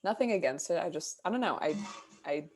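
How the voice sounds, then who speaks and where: A woman's voice: fairly low pitch, lively intonation, fairly quick, a little mellow. A young adult woman co-hosting a casual podcast over a video call, talking hesitantly with many fillers and restarts. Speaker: podcast co-host. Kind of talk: casual chat.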